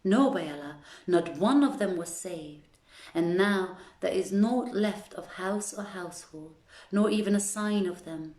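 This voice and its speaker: A woman voicing a lamenting male servant in a storybook tale, with emphatic, drawn-out phrasing. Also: fairly low pitch, a little mellow, reverberant, natural voice. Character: male servant, theatrically wailing, reporting disaster.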